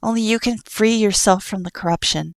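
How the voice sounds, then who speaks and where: A calm, sorrowful child who sees all, as a woman storyteller puts it on, sounding a little higher than her own voice. Soft and earnest, with a patient weight behind the words. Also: brisk, no echo, natural voice.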